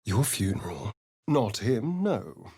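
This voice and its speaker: A British actor playing a sly, vain vampire spawn rogue in a video game, with an arch, theatrical, drawling delivery. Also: male voice, fairly low pitch, big pitch swings, slow pace, darkish tone, slightly rough, no echo, studio quality, exaggerated voice. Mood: disdainful. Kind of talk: dramatic video game voice acting.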